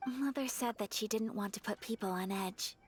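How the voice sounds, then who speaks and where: A woman voicing a dutiful, reserved young noblewoman who speaks softly, politely and in a measured way. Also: medium pitch, a little hiss or hum, lightly acted. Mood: reflective. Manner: soft-spoken, polite and measured.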